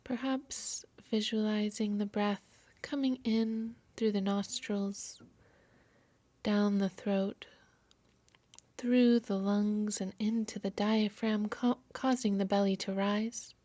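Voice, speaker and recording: A woman yoga nidra instructor softly narrating a guided meditation in a soothing, measured, breathy voice. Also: medium pitch, fairly even intonation, no room sound. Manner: soft, soothing, measured delivery.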